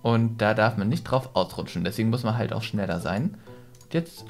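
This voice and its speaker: A man's voice: lowish voice, animated, normal speed. A young man giving chatty, casual let's play commentary on a headset mic, thinking aloud as he goes. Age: young adult. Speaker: gaming YouTuber, host. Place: small decorated gaming room, indoors. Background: game music.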